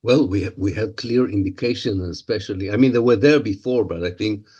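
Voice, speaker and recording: A man with an Israeli accent, a native Hebrew speaker, answering interview questions in English in a halting, analytical way. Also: lowish voice, a little mellow, slightly rough, muffled recording. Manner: halting, searching for words, analytical. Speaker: guest commentator.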